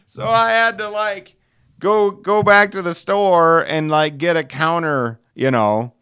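A middle-aged man with a smooth, clear voice, recorded close and dry. He hosts a podcast as a loose, rambling storyteller. His manner is folksy and salty, with self-deprecating humor. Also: sits high, fairly even intonation, slow, bright, forceful tone, no room sound. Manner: chuckles while telling stories. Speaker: podcast host.

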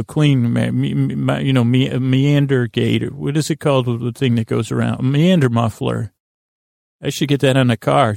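Creaky-voiced man rambling soothingly. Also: medium pitch, a little mellow, no room sound, clean studio sound. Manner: meandering, halting, often trails off and repeats himself; lulling, soothing, whimsical. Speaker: podcast host. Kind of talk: rambling bedtime monologue to lull listeners to sleep.

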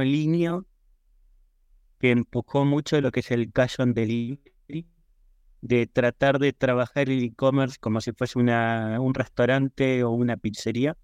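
A man with an Argentine Spanish accent answers as a podcast guest in a conversational, measured, explanatory way. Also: medium pitch, clean tone, dry recording. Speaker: podcast guest, e-commerce professional.

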